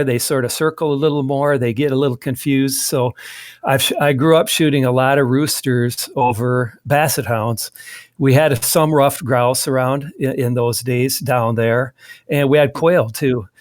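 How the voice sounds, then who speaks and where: A man's voice: mid-range voice, fairly even intonation, measured pace, no room sound. Rambling Midwestern man, dry close mic. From southwestern Wisconsin. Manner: rambling, frequent restarts and fillers. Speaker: guest, magazine editor. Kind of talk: casual interview conversation.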